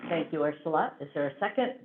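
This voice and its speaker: A woman's voice: sits low, animated, quick pace, slight background noise. A woman chairing a school board meeting, running through procedure with frequent fillers. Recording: tinny phone-like audio.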